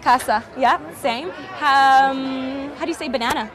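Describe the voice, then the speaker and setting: A woman's voice: high-pitched, steady tone, a little slow. A woman reporter, a native Romanian speaker, chatting playfully and laughing as she interviews a festival guest outdoors. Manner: playful, laughing, coaching phrases. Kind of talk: casual street interview. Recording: outdoor crowd noise behind.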